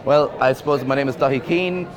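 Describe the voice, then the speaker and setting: An Irish man gives a reflective festival interview in an unhurried voice with a middle pitch. He restarts phrases and repeats words as he thinks. A loud crowd murmurs behind him. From Ireland. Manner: thoughtful, repeats words while searching for phrasing. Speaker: film director. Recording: busy crowd noise behind.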